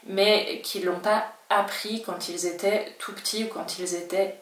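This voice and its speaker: A woman's voice: mid-range voice, fairly even intonation, unhurried, a bit bright, roomy sound, recorded from a distance. A woman whose first language is French, a Spanish teacher giving a chatty, reassuring video lesson and now and then acting out her learners' worries. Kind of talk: talking-head language lesson.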